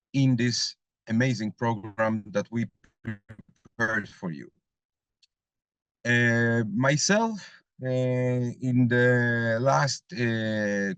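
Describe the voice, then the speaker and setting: A man giving a webinar presentation as lead instructor over a video call, pausing often with filler sounds. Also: mid-range voice, clear, resonant tone, dry recording. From Argentina. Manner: hesitant, many filler sounds.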